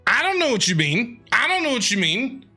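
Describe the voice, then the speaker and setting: A man's voice: extremely high pitch, very animated, a little fast, sharp tone. A man streaming himself reacting to a video game, joking and teasing the characters in a casual way. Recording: game music faint behind.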